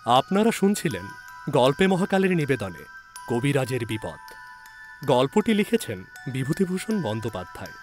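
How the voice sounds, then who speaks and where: A man narrating a scripted story in a deliberate, suspenseful, dramatic style. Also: mid-range voice, big pitch swings, darkish tone, soft background music.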